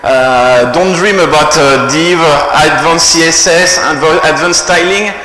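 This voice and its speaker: A man's voice: on the high side, sharp tone. French-accented man in an echoey hall. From France. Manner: halting, many fillers and restarts. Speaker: conference speaker. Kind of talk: technical conference talk. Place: conference hall. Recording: echoey hall, faint room noise.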